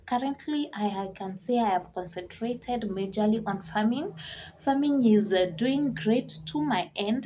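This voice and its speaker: A woman's voice: mid-range voice, dark tone, a little hiss or hum. A young woman from Kenya, chatting earnestly as she answers viewer questions in a casual vlog, with fillers and restarts. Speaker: vlogger.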